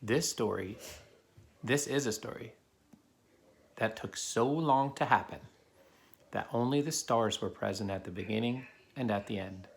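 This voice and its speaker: A man's voice: mid-range voice, unhurried, long pauses. A man reading a children's picture book aloud, explaining things simply as he talks to a child. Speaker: adult reading to a child helper. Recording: clear background noise.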